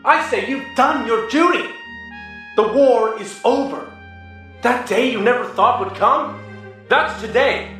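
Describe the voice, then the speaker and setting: A charismatic young robot commander acted by a man speaks in a high, declamatory voice. He is earnest and persuasive, like a born leader in an animated comic, over a soft music bed. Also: slow, pauses often, exaggerated voice. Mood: impassioned. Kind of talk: dramatic oratory in a motion comic.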